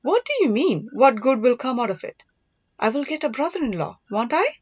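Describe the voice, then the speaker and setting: A woman's voice: on the high side, big pitch swings, a little fast, breathy edge, dull audio, pitched above the performer's own voice. Woman reading as an earnest young storybook prince. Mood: teasing, resolute. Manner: earnest, reassuring. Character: majestic young prince, devoted, earnest, teasing older brother.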